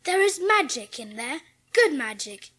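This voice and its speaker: A woman's voice: high-pitched, big pitch swings, unhurried, fairly bright tone, dry recording, exaggerated voice. A woman playing an imperious, determined young invalid boy in a dramatised audiobook, speaking in earnest declarations. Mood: hopeful, determined.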